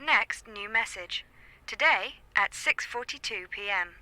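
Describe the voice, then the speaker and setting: A woman's voice: mid-range voice, expressive, a little slow, sharp tone, low-quality recording. A woman voicing an impersonal automated voicemail system, clipped and emotionless. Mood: impersonal, neutral. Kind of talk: automated phone announcement.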